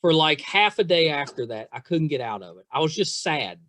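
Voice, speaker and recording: Animated, rambling male podcast host. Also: on the high side, normal speed. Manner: effusive, rambling, self-deprecating. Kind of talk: casual interview chat.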